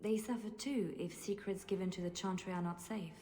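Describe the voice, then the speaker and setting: A woman's voice: mid-range voice, a little fast, dark tone, natural voice. A woman playing a guarded, defiant young female bard in video game dialogue, with pointed questioning. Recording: echoey hall reverb with music.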